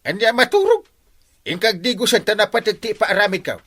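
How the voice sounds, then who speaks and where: A man's voice: sits high, big pitch swings, fairly quick, sharp tone, full character voice. A man with an Ilocano accent playing an exasperated village captain and scolding uncle in a radio comedy drama, exclaiming and nagging.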